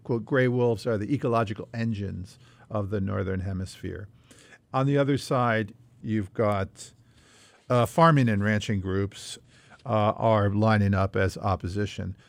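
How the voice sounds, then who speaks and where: A man hosting a conversational policy news discussion, explaining things in a measured way. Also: fairly low pitch, gravelly, no room sound. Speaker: podcast host leading the discussion.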